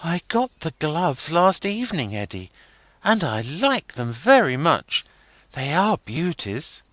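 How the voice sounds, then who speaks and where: A man voicing a playful, pouting young woman in a high, sing-song girlish voice. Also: big pitch swings, slow pace, a bit bright, dry recording, exaggerated voice. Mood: playful, teasing.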